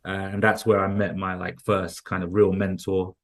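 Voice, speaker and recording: A man's voice: deep voice, little pitch movement, normal speed. A man from London with a London accent, talking as an interview guest in a loose, rambling way full of restarts and fillers. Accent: London English. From London, England. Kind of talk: casual interview conversation.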